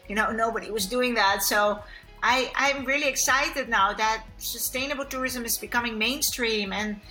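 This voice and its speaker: A woman born in Kenya, answering interview questions about tourism in an earnest way, with many pauses and restarts. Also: fairly high pitch, pushed and bright, poor audio. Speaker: guest, sustainable tourism expert.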